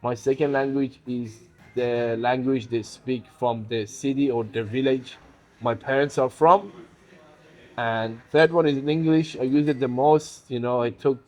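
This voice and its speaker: A man's voice: mid-range voice, steady tone, a little slow, a little mellow, poor audio. A young man from Iran, a native Farsi speaker, chatting casually in an interview about his life. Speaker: bodybuilder.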